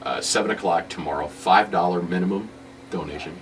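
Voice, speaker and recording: Very low-voiced male radio interviewer, off-mic. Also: brisk, far from the mic, slight background noise. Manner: informal, loosely phrased. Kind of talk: casual interview chat.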